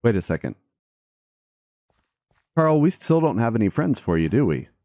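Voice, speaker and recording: A man's voice: lowish voice, big pitch swings, moderate pace, dark tone, no room sound, clean studio sound. A man hosting a gaming let's play, chatting playfully and casually to viewers and game characters. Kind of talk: upbeat casual gameplay commentary.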